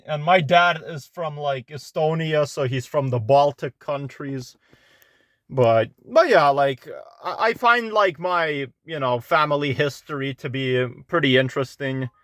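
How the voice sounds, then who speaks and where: A young man talks slowly and loosely, circling back with lots of fillers and restarts. His voice sits a bit high for a man and sounds close and dry, recorded inside a car. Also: a bit bright, no room sound. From Belarus. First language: Russian. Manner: rambling, many fillers and restarts. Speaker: vlogger. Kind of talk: casual talk to camera.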